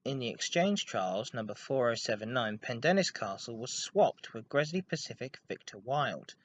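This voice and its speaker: A man presents as a podcast host, reading history in an informal way. His voice sits at a middling pitch. The recording is dull and lo-fi, like a homemade show. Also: very animated, moderate pace, slight background noise. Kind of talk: informal scripted narration on railway history.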